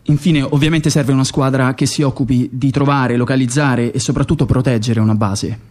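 Deliberate, cold mercenary commander played by a man. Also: medium pitch, steady tone, a little mellow, close to an everyday voice. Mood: businesslike. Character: cold mercenary Mossad commander, boastful and matter-of-fact. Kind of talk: radio theatre monologue.

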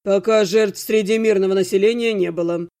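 A woman voicing a businesslike male mage investigator in an audiobook, speaking in an official, measured way. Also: mid-range voice, fairly even intonation, warm tone, pitched below the performer's own voice, close to an everyday voice. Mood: formal. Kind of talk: audiobook character acting.

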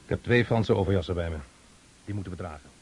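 An actor playing a bold, ruthless army officer in a radio drama, brusque, commanding and mocking. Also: male voice, low-pitched, quick pace, a little mellow, breathy edge. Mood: terse, commanding. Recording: noisy old radio drama recording.

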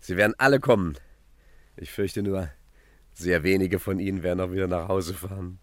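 An actor playing a cocky, cunning gentleman gangster boss with swaggering emphasis in a 1950s satirical crime radio play. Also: low voice, normal speed, natural voice. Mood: smug.

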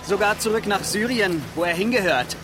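An actor playing a mocking, provocative, excitable male stalker in a radio drama. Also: high-pitched, a little fast, rough recording. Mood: sneering. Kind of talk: dramatic radio play dialogue. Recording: loud music and street vehicles.

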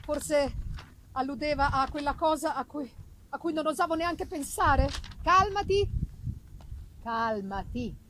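A woman with a high voice reads literary prose dramatically. Her phrasing is theatrical and deliberate, and there is clear noise in the live radio recording. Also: steady tone, rough recording. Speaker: reader.

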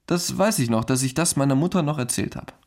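A man's voice: medium pitch, lively intonation, fast talker, close to an everyday voice. Earnest, hesitant husband played by a man. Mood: subdued. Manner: hesitant, searching for words. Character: earnest, affable foreign husband living in China. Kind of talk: documentary radio play, conversational reminiscence.

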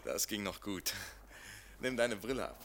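A man's voice: fairly low pitch, big pitch swings, unhurried, fairly bright tone, slight background noise, exaggerated voice. A man in a German radio play acting a worldly boatman and grotto guide, coaxing, servile yet commanding. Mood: brisk, coaxing.

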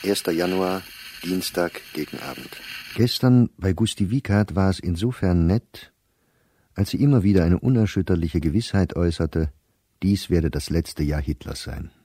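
An actor playing a weary middle-aged professor reading his private diary in a radio play, measured and reflective. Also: low-pitched, natural voice. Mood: sober. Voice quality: dark, husky.

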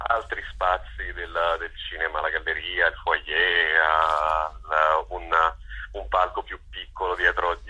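A man with a low-pitched voice and a very sharp, bright edge answers interview questions. He speaks off the cuff, haltingly, pausing often as he looks for the right word. The tone is noticeably rough and husky. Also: lo-fi sound. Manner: hesitant, searching for words with many pauses. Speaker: festival organizer being interviewed. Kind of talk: unscripted interview.

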